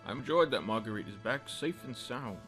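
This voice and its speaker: A man's voice: medium pitch, animated, moderate pace, over music, close to an everyday voice. A man playing a kindly, deferential retainer who speaks formally and politely in a radio drama. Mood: gentle, soothing. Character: courteous, kindly male retainer, gentle and deferential. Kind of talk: fan radio drama acting.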